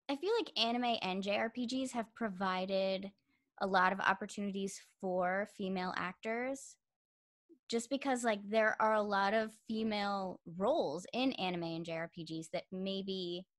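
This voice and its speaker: A young woman interviewing a guest over a video call, chatty and full of fillers, with a youthful-sounding voice. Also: moderate pace. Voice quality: says she sounds young with a high voice.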